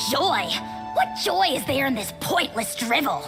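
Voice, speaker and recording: Haughty high-pitched little dragon tyrant. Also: female voice, big pitch swings, takes it slowly, music in the background, exaggerated voice. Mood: scornful. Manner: pompous, theatrical, scornful. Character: haughty, bombastic, tiny ancient dragon demanding worship. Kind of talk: video game character acting.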